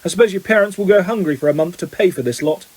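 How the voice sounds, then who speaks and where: A spiteful schoolboy bully from a storybook, put on by a male reader. He drawls his taunts with a sneer, in a slightly husky voice. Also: high-pitched, very fast, darkish tone. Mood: taunting.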